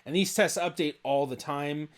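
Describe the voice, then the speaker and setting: A man's voice: on the high side, normal speed. Man explaining genealogy in casual commentary. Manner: thinks aloud, hedging with "I feel like". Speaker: genealogist reacting to a video.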